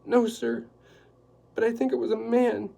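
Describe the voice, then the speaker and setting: A man reading aloud and voicing a nervous, tearful woman housekeeper who talks in a high, fretful whimper. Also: fairly even intonation, unhurried, vocal fry, dark tone, exaggerated voice. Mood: anxious, fretful.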